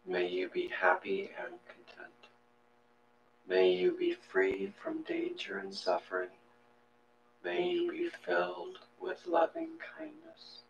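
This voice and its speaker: A man's voice: high-pitched, vocal fry. A man reciting blessings as part of a guided meditation, in short, evenly phrased lines. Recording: dull echoey room with hum.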